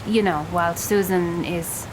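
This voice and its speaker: A woman's voice: on the low side, fairly even intonation, measured pace, background noise, natural voice. A woman playing a cold, sarcastic thirteen-year-old girl in an audio drama, with a dry, curt delivery. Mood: dry, detached.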